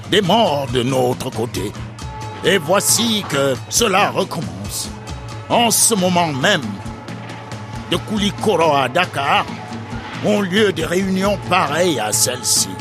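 An actor playing a grave, measured elder railway worker making a solemn speech at a strike meeting in a dramatized novel. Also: male voice, medium pitch. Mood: rousing. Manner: solemn, deliberate oratory.

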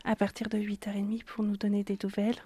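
A woman's voice: medium pitch, completely flat delivery, quick pace, dark tone, breathy edge, no room sound. A woman giving casual spoken advice as a childcare nurse. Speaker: childcare nurse advising a parent.

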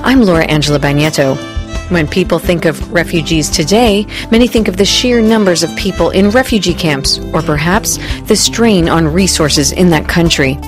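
A woman hosting a literature podcast, presenting and asking questions in a clear, measured way. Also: fairly low pitch, music in the background. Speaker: podcast host. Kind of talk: radio podcast interview.

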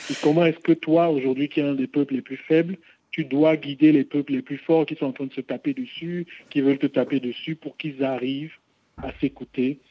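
Radio guest, male, game studio founder; reflective, explanatory, slightly higher voice, dry lo-fi sound. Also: fairly even intonation, fairly quick, slight background noise. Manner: reflective, explanatory, builds long arguments.

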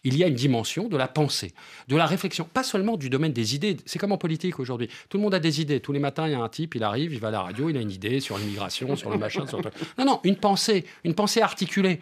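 A man's voice: fast talker, slightly rough. A man who is bilingual in French and Portuguese, a theatre director speaking as a guest in a radio interview, emphatic and repeating words as he explains. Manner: emphatic, repeats words, lively pitch swings.

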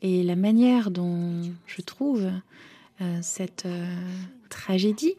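A novelist, a woman whose first language is Romanian, answering interview questions thoughtfully with many pauses and fillers. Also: fairly low pitch, mellow. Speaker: novelist guest.

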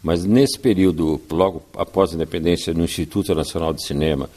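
An older man from Brazil, a writer and filmmaker, giving measured, storytelling answers in an interview and often tagging his sentences with "né". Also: deep voice, mellow.

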